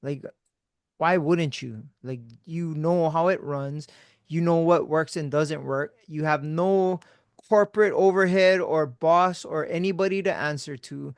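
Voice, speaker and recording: A man hosting a podcast, chatting casually with a friend in a relaxed, laid-back way, swearing freely and trailing off into quiet mumbles. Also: fairly high pitch, unhurried, smooth, no room sound. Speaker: podcast host.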